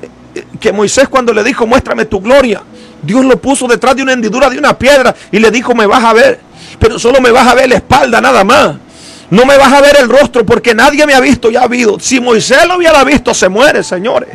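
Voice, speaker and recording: A man with a very high, strained voice preaches. His delivery is gravelly and impassioned, full of repetition and sudden shouted peaks. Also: brisk. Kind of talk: fiery evangelical sermon.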